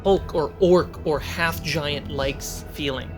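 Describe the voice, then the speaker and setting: A game master narrating a fantasy tabletop adventure in a measured way, pausing between short atmospheric phrases. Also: medium pitch, steady tone. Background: cinematic background music.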